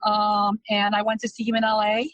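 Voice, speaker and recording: A woman's voice: medium pitch, completely flat delivery, normal speed, fairly bright tone, no room sound. A woman in her thirties, originally from Romania, talking in a loose, chatty way with frequent hesitations as an interview guest. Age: 30s. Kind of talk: casual testimonial interview.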